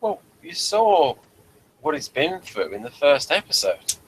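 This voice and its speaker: A man hosting a casual review discussion, making his points in a measured, analytical way. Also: on the high side, big pitch swings, slight background noise, low-quality recording. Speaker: host asking for a rating.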